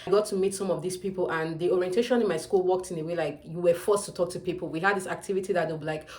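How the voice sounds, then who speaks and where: A woman's voice: fairly low pitch, fairly even intonation, racing, a little mellow. A young woman with a Nigerian accent, talking to camera in a chatty advice vlog and often calling out to her viewers. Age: young adult. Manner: chatty, emphatic, often calls out "you guys". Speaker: student vlogger.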